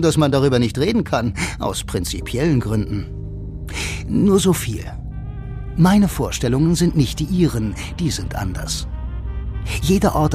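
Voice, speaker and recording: Radio drama narration over clear music: a sardonic, self-assured blind bank employee played by a male actor. He confides in the listener with dry irony. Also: medium pitch, close to an everyday voice. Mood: confiding, wry. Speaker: first-person narrator and lead actor.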